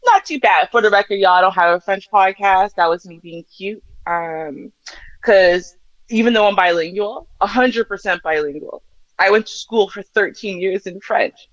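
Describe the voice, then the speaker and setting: A woman's voice: medium pitch, moderate pace, no room sound. Regular guest on a livestream: a chatty Canadian woman who pokes fun at herself, dry lo-fi audio. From Canada, Jamaican family. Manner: chatty, self-mocking, laughing often. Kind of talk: casual livestream chat.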